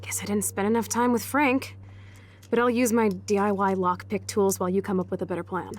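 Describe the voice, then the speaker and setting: A woman's voice: medium pitch, steady tone, a little fast, slight background noise, close to an everyday voice. A young American woman playing a brash, rebellious, impulsive punk teenage girl in a video game, cocky and slangy. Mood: cocky, teasing. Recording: music behind the voice.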